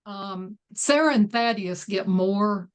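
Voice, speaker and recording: A woman's voice: medium pitch, slow, clean tone. Female guest author, mid-range voice. Casual, attentive, keeps answers short. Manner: brief, attentive replies. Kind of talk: casual conversation.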